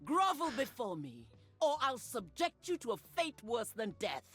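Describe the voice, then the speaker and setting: A woman's voice: fairly high pitch, wildly swooping pitch, moderate pace, fairly bright tone, slightly rough, soft background music, full character voice. An actress playing a proud, ruthless middle-aged warlord mother in a video game, commanding and haughty. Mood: fierce command.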